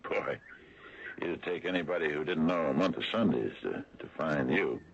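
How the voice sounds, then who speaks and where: A man's voice: very deep voice, normal speed, vocal fry. A man playing a skeptical, loyal small-town American sheriff in a radio drama, folksy and a little hesitant. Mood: uneasy, friendly. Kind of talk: 1980s radio drama acting. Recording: dull, noisy old radio broadcast.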